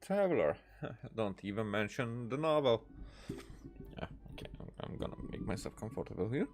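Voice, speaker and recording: A man's voice: mid-range voice, huge pitch swings, moderate pace, darkish tone. A man doing casual let's-play commentary, reading game dialogue aloud in a hesitant way with many "uh"s and restarts.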